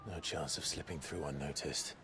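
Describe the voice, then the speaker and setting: An actor playing a wary man on a covert journey in a video game cutscene, speaking in a measured, understated way. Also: a bass voice, fairly even intonation, noisy background. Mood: guarded.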